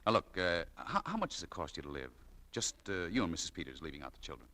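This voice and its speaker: An earnest, testy young man working as a store clerk in 1940s radio style. He speaks fast in a deep voice with a very forceful, sharp edge. The old broadcast has faint noise. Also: very animated, exaggerated voice. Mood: confidential.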